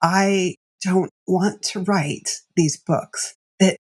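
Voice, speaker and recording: A woman executive coach telling stories as a podcast guest, animated and laughing often. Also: lowish voice, very slow, husky.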